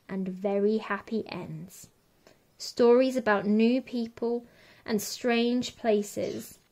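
A woman reading a children's picture book aloud as a teacher would, in an expressive, measured way. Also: fairly high pitch. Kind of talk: children's storybook read-aloud.

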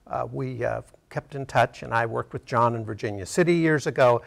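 A man's voice: medium pitch, moderate pace, vocal fry. An American man in his sixties from Montana, explaining things casually as an interview guest. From Montana, United States. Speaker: interview guest, theater writer and director. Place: TV studio.

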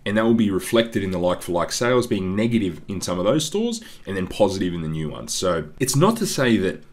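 A man hosting an investing channel, talking casually through slides with a congested voice, often calling his viewers "guys" and filling pauses with ums. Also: sits low, sing-song, darkish tone. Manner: chatty, keeps saying "guys", frequent ums. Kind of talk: casual stock analysis over slides. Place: voice-over on screen-share slides.

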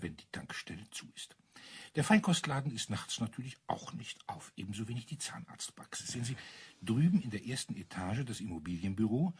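A self-assured colonel from a detective radio drama. His voice is fairly low and very breathy, rising and falling animatedly as he lays out a mystery with teasing relish. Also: a little mellow, full character voice. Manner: teasing, expository, savours his account. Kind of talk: German crime radio play acting.

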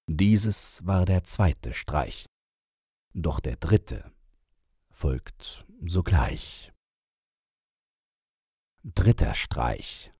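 A man reading a rhymed children's story aloud as an audiobook, in a deliberate, theatrical manner. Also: rumbling low, warm tone, breathy edge, dry recording, clean studio sound. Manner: deliberate, theatrical, measured verse delivery. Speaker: audiobook reader.

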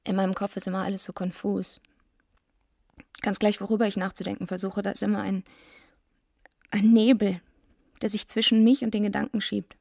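An actress playing a tormented, despairing young woman in a radio drama, speaking in a halting, brooding way. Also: medium pitch, level tone, gravelly, a little mellow, dry recording, studio quality. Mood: anguished. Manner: halting, brooding, self-loathing.

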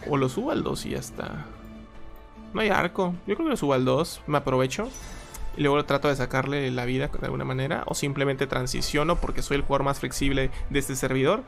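A young man, chatting casually while playing a strategy game, reading chat and breaking into loud exclaims. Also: medium pitch, brisk, creaky. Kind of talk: casual live gameplay commentary. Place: small room at home. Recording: game music behind the voice.

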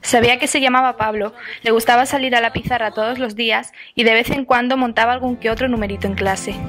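An actress narrating as a twenty-year-old student, in a wry, calmly confessional voice-over. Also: female voice, fairly high pitch, fast talker, natural voice. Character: dry, aloof young woman student abroad. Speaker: narrator of her own story. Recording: voice-over with music behind.

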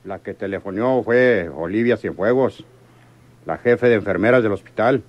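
An actor in a radio drama playing a ruthless, commanding crime boss who speaks curtly and questions like an interrogator. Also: male voice, lowish voice, moderate pace, highs cut off, close to an everyday voice. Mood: angry, menacing. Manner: curt, interrogating, domineering. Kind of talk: radionovela acting.

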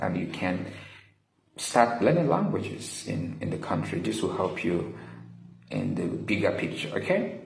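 A man in his thirties giving earnest, deliberate, motivational advice to camera as a study-abroad vlogger. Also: on the low side, vocal fry. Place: small indoor room with window blinds. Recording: echoey room with some background noise.

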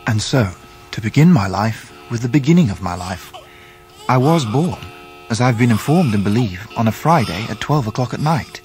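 An actor playing a reflective English gentleman narrating his own life in a radio drama. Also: mid-range voice, expressive, moderate pace, faint music behind, close to an everyday voice, low-quality recording.

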